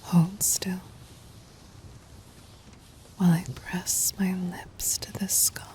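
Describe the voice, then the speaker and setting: A woman playing a gentle, doting demon queen in an ASMR roleplay, in a soft breathy whisper with tender, formal, intimate delivery. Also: on the low side, a little hiss or hum. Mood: tender, sorrowful.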